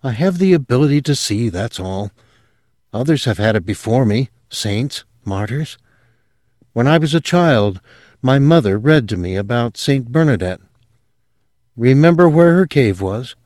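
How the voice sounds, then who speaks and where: A man voicing a young woman who is eerie and rapturous, speaking softly and breathlessly. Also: medium pitch, lively intonation, measured pace, no room sound, clean studio sound, lightly acted. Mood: thoughtful.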